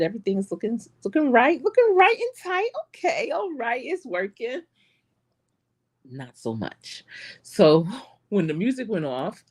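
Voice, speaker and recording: Animated low-voiced woman coach. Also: husky. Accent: Charlotte. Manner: animated storytelling with frequent laughs. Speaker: life coach hosting a live stream. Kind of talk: casual chat to live viewers.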